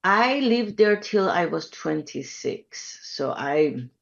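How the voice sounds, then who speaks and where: A woman in her fifties, from Iran, talks as a podcast guest in a deep, low voice. She is reflective and measured, often pausing with ums as she gathers her thoughts. The recording sounds slightly dull and lo-fi. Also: sing-song, creaky. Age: 50s. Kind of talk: conversational interview.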